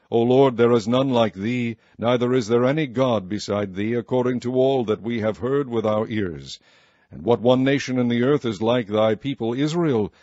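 A man reading scripture aloud in a slow, measured, solemn way. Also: medium pitch, a little mellow, no echo, dull audio. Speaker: Bible reader.

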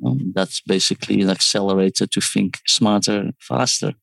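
A man's voice: fairly low pitch, steady tone, moderate pace, creaky, fairly bright tone, dry recording, poor audio. A man born in South Korea and raised in the Netherlands, talking as an interview guest about his work, thoughtful and prone to restarting words. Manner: thoughtful, restarts words, quotes others in asides. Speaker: guest, startup founder and design teacher. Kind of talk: conversational interview.

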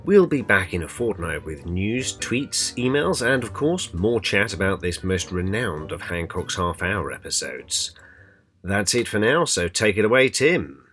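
Low guy chatting on a podcast, lively when he quotes lines. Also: unhurried, faint music behind. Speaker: podcast co-host. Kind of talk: casual chat.

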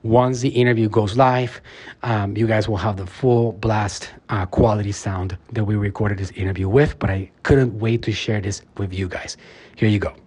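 A man's voice: fairly low pitch, fairly even intonation, normal speed, darkish tone, slightly rough. A man who runs a marketing agency, talking earnestly and emphatically in a motivational podcast style, with frequent fillers. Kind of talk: motivational podcast talk and interview answers.

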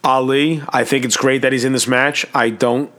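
A man with a raspy, hoarse voice, hosting a casual wrestling predictions talk, enthusiastic and profane, rambling with restarts. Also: mid-range voice, steady tone, moderate pace, fairly bright tone. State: hoarse, clearing throat. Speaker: wrestling podcast host.

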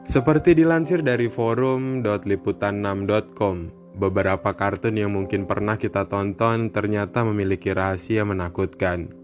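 A man's voice: fairly low pitch, fairly even intonation, normal speed. A man hosting a horror podcast, reading scripted narration. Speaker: podcast host. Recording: music bed behind the voice. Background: background music.

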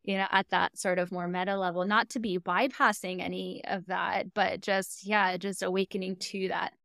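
American woman hosting a podcast, chatty and thoughtful, smooth clear voice, dry close sound. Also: medium pitch, level tone, moderate pace, no echo. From United States. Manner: chatty and reflective, with frequent "like" and soft laughs. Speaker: podcast host. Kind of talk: casual interview chat.

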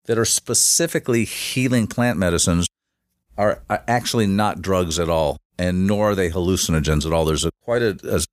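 Low-voiced guy on a podcast, keeps restarting sentences, dry close sound. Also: no echo. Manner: halting, many restarts and fillers. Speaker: guest, shamanic facilitator. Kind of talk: casual podcast interview.

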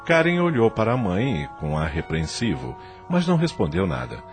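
A man's voice: on the low side, wildly swooping pitch, moderate pace, soft background music. A man narrating a radio soap opera in a scripted, dramatic style.

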